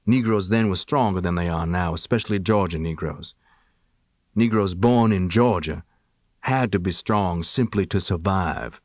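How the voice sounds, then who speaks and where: A man with a fairly low voice narrates a memoir in the first person, reading from a script as for an audiobook. The recording is dry and close, with very little room sound. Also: unhurried, no echo. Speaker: audiobook reader.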